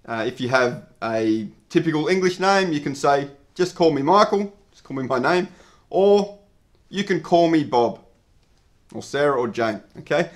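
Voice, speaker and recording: A man in his thirties speaks straight to camera like a teacher. His voice sits a little high, and he explains each point clearly at an even, measured pace. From Australia. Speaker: English teacher. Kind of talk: scripted lesson to camera. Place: indoor studio with dark backdrop.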